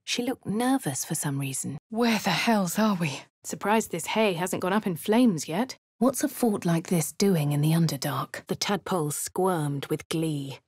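A woman's voice: lowish voice, darkish tone, slightly rough, no echo, clean studio sound. An actress voicing a posh female adventurer with a posh English accent, delivering cool, wry game lines and narration. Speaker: player character. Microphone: close studio mic, dry.

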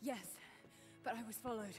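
A woman's voice: on the high side, moderate pace, over music, natural voice. An actress playing a driven, defiant young woman adventurer in a video game, earnest and urgent. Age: young adult. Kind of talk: cinematic video game acting. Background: game score.

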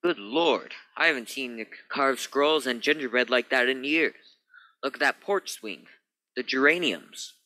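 Space captain for radio play, male actor: sharp, tense, authoritative, mid-pitched, skeptical. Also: measured pace, low-quality recording. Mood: astonished. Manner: emphatic, authoritative.

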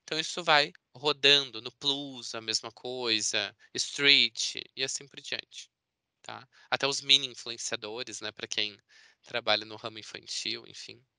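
Male Brazilian presenter, conversational and explanatory, very forward bright tone, clean and smooth. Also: mid-range voice, fairly even intonation, measured pace, no echo. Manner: chatty, explanatory, often seeking confirmation with tag words. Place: indoor room on a video call. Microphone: video call microphone.

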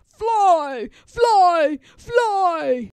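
A woman doing loud, bellowing greedy storybook trolls. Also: extremely high pitch, sing-song, extremely slow, no room sound, voice pushed up, heavily acted. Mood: greedy, clamoring. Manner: bellowing, clamoring in broken, clipped phrases. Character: greedy, bellowing band of dim mountain trolls. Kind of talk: children's storybook read-aloud.

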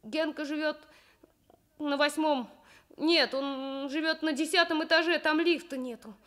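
A woman voicing an excitable, evasive schoolboy who blurts out stubborn excuses in a children's radio story. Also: high voice, a little slow, voice pushed up. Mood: pleading, insistent. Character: schoolboy Kostik, evasive, pleading, excitable little boy.

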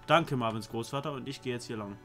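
A man's voice: medium pitch, quick pace, bright, forceful tone. A young man hosting a let's play, chatting casually in a slangy, laid-back way. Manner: slangy, laid-back, says "easy" and "Alter". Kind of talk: casual gaming chat. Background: game music.

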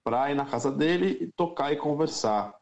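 A man from Brazil, a music teacher and producer, talking through his plans in a relaxed, thoughtful interview. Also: fairly high pitch, vocal fry. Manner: thoughtful, unhurried, many fillers. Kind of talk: casual interview talk.

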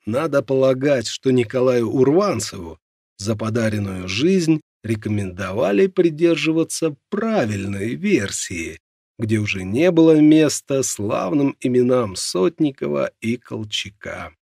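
A man with a gravelly, husky voice narrating a nonfiction audiobook in a measured, dramatic storytelling way. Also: medium pitch, very animated, darkish tone, no room sound, studio quality.

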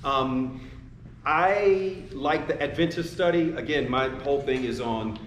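A man's voice: medium pitch, a little slow, slight background noise. A man on a panel, answering questions in a rambling way and repeating his words. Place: retail sports store, indoors. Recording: echoey store room.

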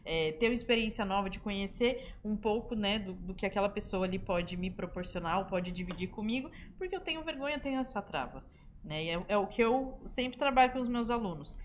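A woman's voice: mid-range voice, animated, brisk, a little hiss or hum. A woman, a language teacher, talking in a casual podcast discussion in a studio, explaining with everyday examples. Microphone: studio boom mic.

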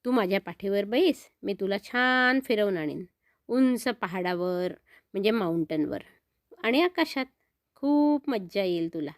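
A woman's voice: mid-range voice, animated, a little slow, no room sound, studio quality, lightly acted. A woman voicing a friendly, eager male flying horse who reassures and invites a child in a children's story. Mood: friendly, inviting.

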